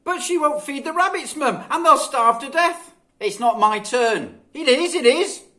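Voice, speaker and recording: A man voicing excitable, whiny young children squabbling in a children's story, plaintive and shrieking. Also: very high-pitched, measured pace, pitched above the performer's own voice, full character voice. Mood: whiny, indignant.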